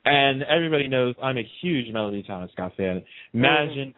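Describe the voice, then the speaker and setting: A man's voice: mid-range voice, expressive, brisk, phone-quality sound. A man chatting casually as a radio guest, telling stories and repeating phrases for emphasis. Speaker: radio host being interviewed. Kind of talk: casual chat, storytelling.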